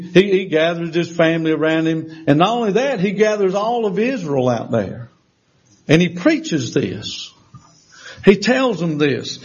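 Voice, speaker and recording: A man's voice: a little high, breathy edge. A man in his sixties preaching a folksy sermon in a church, with emphatic rises and call-and-response. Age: 60s. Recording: dull lo-fi sermon recording.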